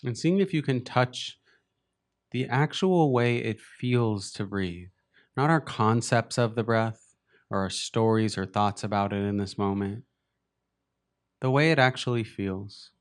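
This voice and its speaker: A man leading a guided meditation as a teacher, speaking gently and unhurriedly in short phrases with long pauses. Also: fairly low pitch.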